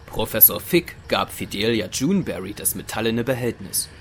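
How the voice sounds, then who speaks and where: A man's voice: lowish voice, expressive, moderate pace, slight background noise. A man narrating a fantasy audio drama in scripted, dramatic storytelling style. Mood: dramatic, descriptive. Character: male storyteller of a fantasy adventure. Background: music.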